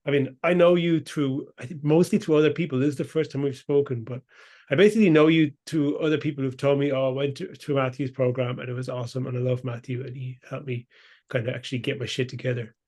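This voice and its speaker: A man hosting a podcast interview, chatting casually and warmly with his guest, often restarting his sentences. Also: medium pitch, steady tone, fast talker. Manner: friendly, chatty, with frequent restarts. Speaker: podcast host.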